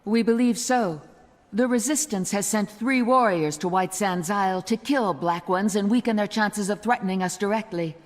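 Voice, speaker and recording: An actress playing a wary, earnest resistance agent in a fantasy video game, speaking with foreboding. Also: female voice, mid-range voice, normal speed, lightly acted. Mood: grave, businesslike. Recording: reverberant hall with music behind.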